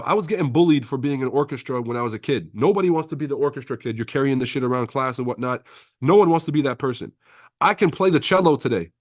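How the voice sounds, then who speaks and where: A man's voice: mid-range voice, no echo, studio quality. A man from Lebanon, French his first language, hosting a casual trading livestream, reading viewer comments with brash, profane banter and frequent laughter.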